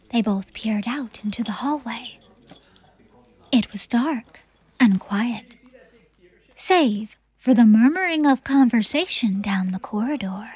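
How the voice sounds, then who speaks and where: A woman's voice: on the high side, a little mellow, breathy edge. A woman reading scripted, dramatic story narration as the narrator of an audiobook. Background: background music.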